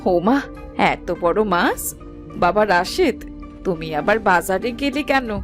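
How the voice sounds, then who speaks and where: A woman's voice: medium pitch, expressive, unhurried, close to an everyday voice. A woman playing a fussing, scolding village family woman in a cartoon story, with music behind. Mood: fussing, caring. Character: fussing, scolding village women of a family, wife and mother-in-law.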